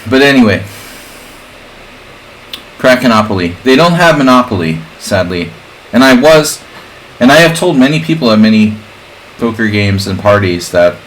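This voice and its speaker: A man's voice: lowish voice, fairly bright tone, low-quality recording. A man hosting a livestream in casual, rambling chat, drifting off on jokey tangents. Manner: digressive, jokey. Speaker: livestream host, chess streamer. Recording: clear background noise.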